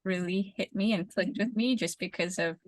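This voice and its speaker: A young adult woman from the Bahamas, an urban planner, giving measured, explanatory answers in an interview and repeating words as she thinks. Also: medium pitch, dry recording.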